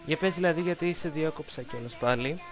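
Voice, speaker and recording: A woman asks casual interview questions and encourages her guest in an informal way with brief interjections. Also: low-pitched. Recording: voice with music playing clearly behind. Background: music.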